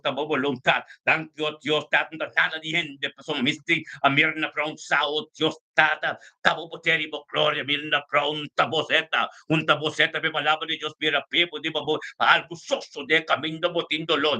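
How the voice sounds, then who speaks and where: A man's voice: fairly high pitch, bright, forceful tone. A man preaches in fervent, repetitive prayer, calling out exclamatory invocations as he leads a live online service.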